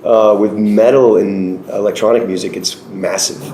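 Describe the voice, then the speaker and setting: A man's voice: fairly low pitch, steady tone, moderate pace, warm tone. A man from Chicago, a band's guitarist and singer, talking casually and rambling, repeating words as he thinks. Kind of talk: casual interview chat. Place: noisy music venue. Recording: roomy venue with crowd noise.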